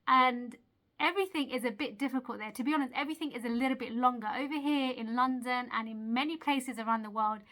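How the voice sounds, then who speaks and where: Bright-voiced woman, earnest chatty vlog. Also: high-pitched, quick pace. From Eritrea. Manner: chatty and earnest, laughs at herself, asks the viewer questions. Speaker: video creator. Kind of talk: casual motivational talk to camera.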